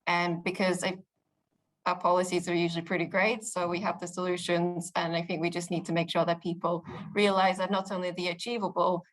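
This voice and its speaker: A young British woman speaking in a fairly low voice. She talks earnestly and upbeat, with plenty of ums and restarts, like an interviewee thinking aloud. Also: low-quality recording. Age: young adult. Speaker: interviewee, youth political spokesperson. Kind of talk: casual interview answers.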